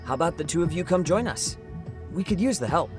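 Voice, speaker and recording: A man's voice: a little high, expressive, brisk, over music, natural voice. A man playing a laid-back, friendly young detective in an English game dub, with a casual, easygoing delivery.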